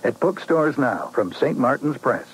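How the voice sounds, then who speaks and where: A man's voice: medium pitch, lively intonation, unhurried, slightly rough, poor audio. An American man reading a scripted radio advertisement in announcer style. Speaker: commercial announcer.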